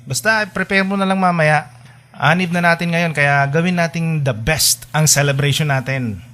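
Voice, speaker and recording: A man's voice: a little high, normal speed, fairly bright tone, lightly acted. An actor playing a devoted, sentimental young male lover in a radio drama, with an earnest way of talking. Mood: playful, affectionate. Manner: teasing endearments, pleading and sentimental. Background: background music.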